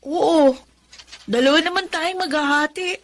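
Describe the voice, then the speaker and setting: An actress playing a caring, dutiful young Filipina daughter in a radio drama, sweet and earnest. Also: female voice, high-pitched, unhurried. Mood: hopeful, proud.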